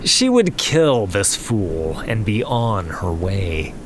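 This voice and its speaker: A man's voice: fairly low pitch, big pitch swings, slow pace, background noise. A man narrating a fantasy story in a dramatic, scripted audiobook style. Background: music.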